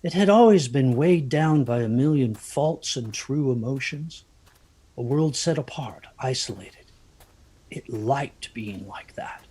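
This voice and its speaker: A man's voice: medium pitch, animated, unhurried, mellow, breathy edge. A man acting an anxious, haunted narrator of a dramatic first-person ghost story, hesitant and brooding in his delivery. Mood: hushed, eerie. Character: anxious haunted man recalling a stormy night.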